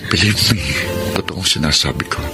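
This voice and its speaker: An actor as a deep-voiced lovestruck young man. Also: normal speed, loud music behind the voice, lightly acted. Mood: tender, hesitant. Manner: mixes English and Tagalog, earnest. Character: lovestruck young man torn between two women. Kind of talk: Tagalog radio drama acting.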